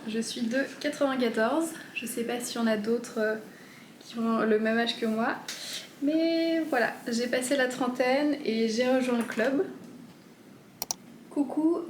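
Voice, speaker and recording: A woman in her thirties chatting casually at home as she answers viewer questions, gentle and hesitant. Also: a little high, roomy sound, slight background noise. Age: 30s. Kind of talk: casual FAQ chat.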